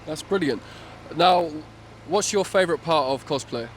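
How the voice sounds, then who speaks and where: Guy with a slightly high voice doing quick polite interviews at a con. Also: lively intonation, slight background noise. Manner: brief, polite, repetitive thanks. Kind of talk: casual interview at a convention. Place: busy convention hall.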